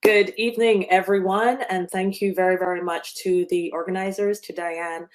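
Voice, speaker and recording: A woman's voice: mid-range voice, level tone, normal speed. Woman, fifties, from Barbados, guest speaker, remote slide talk, earnest, filler-heavy. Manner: earnest, with frequent ums and self-corrections. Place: speaking remotely from home or office.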